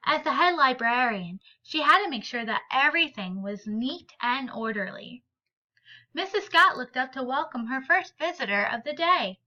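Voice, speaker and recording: A woman reading a children's picture book aloud in an expressive, deliberate and emphatic way. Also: sits high, muffled recording. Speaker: read-aloud storyteller.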